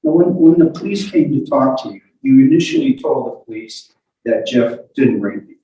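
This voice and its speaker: A man's voice: medium pitch, expressive, normal speed, mellow, highs cut off. A man cross-examining a witness in court, stammering and repeating words as he puts his questions. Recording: very echoey room, distant mic.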